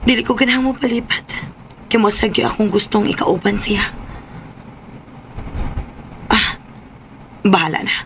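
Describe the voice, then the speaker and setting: A headstrong young daughter acted by a woman in a Cebuano Filipino radio drama. Her voice is bright and forceful as she pleads dramatically, with faint noise behind her. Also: mid-range voice, normal speed, pauses often, lightly acted. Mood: troubled, defiant. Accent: Filipino, Cebuano-speaking.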